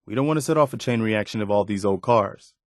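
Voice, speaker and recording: An actor playing an earnest, steadfast militia officer companion in a post-apocalyptic video game, speaking sincerely and plainly with a folksy, dutiful manner. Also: male voice, fairly low pitch, fast, no room sound, clean studio sound, close to an everyday voice. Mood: steady, concerned.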